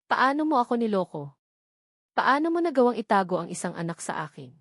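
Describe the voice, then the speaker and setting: A woman's voice: on the high side, very animated, fairly quick, dry recording. Woman reading as hurt, betrayed woman, dry sound. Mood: hurt, angry. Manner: trembling, accusing. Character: hurt, bewildered woman betrayed by her partner.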